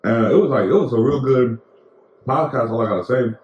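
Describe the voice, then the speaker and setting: A man's voice: medium pitch, a little fast, reverberant, highs cut off, slight background noise. A young man co-hosting a podcast in casual, rambling chat full of slang and fillers. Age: young adult. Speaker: podcast co-host.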